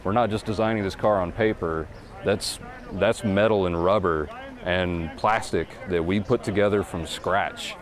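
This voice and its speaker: A man's voice: sits low, fairly even intonation, unhurried. A man answering interview questions about a student engineering program, explaining with small repeats.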